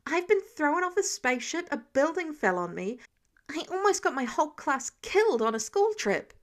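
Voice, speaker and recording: A woman's voice: high-pitched, sing-song, moderate pace, pitched above the performer's own voice. A woman voicing a hot-headed, wounded teenage boy superhero in an audio story, emphatic and slangy. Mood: angry, desperate.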